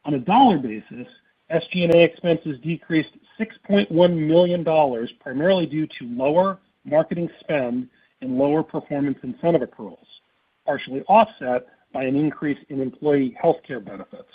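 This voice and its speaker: A man reading a scripted financial report on an earnings call in a formal, measured manner. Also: a little high, breathy edge. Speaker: company finance executive. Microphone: conference call phone line. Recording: muffled narrowband phone conference line.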